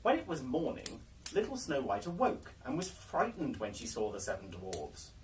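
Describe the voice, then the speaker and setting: Animated storyteller reading a fairy tale. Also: medium pitch, moderate pace, recorded from a distance, slight background noise, rough recording. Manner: dramatic, theatrical telling. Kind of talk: expressive scripted storybook narration of a fairy tale.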